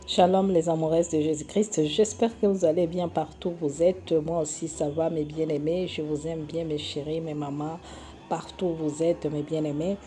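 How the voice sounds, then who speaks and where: A woman's voice: low-pitched, steady tone, normal speed, over music. A woman from Congo giving a scolding video sermon, repeating herself often and hesitating with filler sounds. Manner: admonishing, repetitive, many hesitations and filler sounds. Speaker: online preacher.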